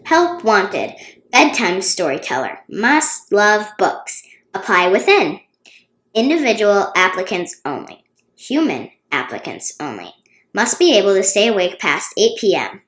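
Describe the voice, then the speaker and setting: A woman's voice: mid-range voice, sing-song, a little slow, fairly bright tone. A woman voicing a bossy little storybook girl, announcing emphatically with stretched-out words. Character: bossy little girl posting job notices. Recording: roomy, echoey sound.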